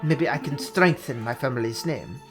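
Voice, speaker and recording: This is a man playing an exiled fox prince from a video game, melancholy and courteous. He speaks formally and earnestly in a Spanish accent, with a lively rise and fall. Faint music plays behind him. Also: medium pitch, normal speed, pitched below the performer's own voice, lightly acted. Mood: mournful.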